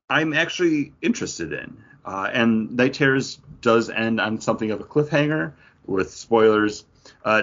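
A man's voice: mid-range voice, a bit bright. A man co-hosting a podcast in a casual, conversational discussion. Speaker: comics podcast co-host. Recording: dull lo-fi audio.

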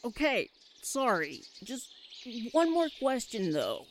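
A woman's voice: a little high, huge pitch swings, slow, slight background noise, full character voice. A woman playing a young, agreeable, slightly dim henchman in a comic audio drama, slangy and hesitant. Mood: timid doubt. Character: young, dim, agreeable male sidekick henchman, timidly questioning.

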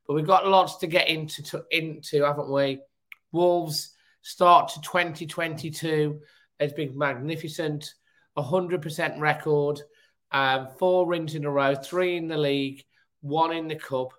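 A man with a hoarse, cold-roughened voice, hosting a casual football fan chat show. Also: fairly high pitch, steady tone, unhurried, fairly bright tone. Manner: chatty, upbeat, fan talk. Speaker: football podcast host.

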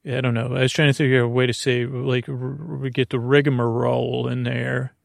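A man hosting a sleep podcast with a gravelly voice, talking in a hesitant, halting, meandering bedtime monologue that drifts into tangents. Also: medium pitch, little pitch movement, dry recording, studio quality. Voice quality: gravelly, creaky.

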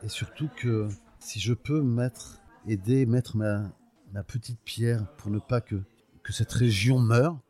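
A man's voice: mid-range voice, fairly even intonation, slow, warm tone. A man in his sixties from Portugal, telling his story in an interview, thoughtful and often hesitating as he searches for words. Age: 60s. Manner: fond, proud of his home region. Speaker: guest, olive oil producer. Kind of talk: podcast interview, personal storytelling.

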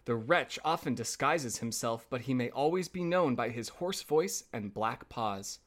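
A man's voice: mid-range voice, lively intonation, a little slow, a bit bright. A man voicing a fond old mother goat in a storybook, earnestly warning her children.

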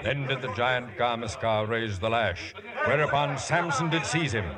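A man's voice: mid-range voice, normal speed, crowd noise. A man giving solemn, scripted biblical narration in the style of 1950s radio. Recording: 1950s radio broadcast, loud music behind.

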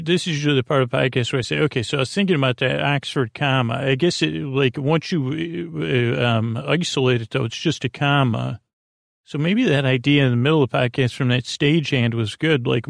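A man with a creaky voice hosting a bedtime podcast in a halting, meandering monologue, gently reassuring as he stammers and circles back on his phrases. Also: medium pitch, no room sound, clean studio sound. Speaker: podcast host.

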